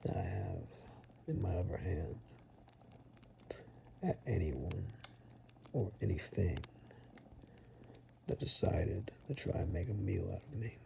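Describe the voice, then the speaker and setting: A gruff, protective hunter of the woods , played by a man, speaks in a very low, hushed ASMR voice. His pitch wanders widely between murmur and breath as he gives deliberate, steady reassurance. A faint noise sits behind him. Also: heavily acted. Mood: calm, assured. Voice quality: low, gravelly, breathy half-whisper. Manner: slow, deliberate, matter-of-fact reassurance with pauses. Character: gruff, protective male monster-hunting woodsman rescuer. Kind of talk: ASMR roleplay, soft-spoken and half-whispered.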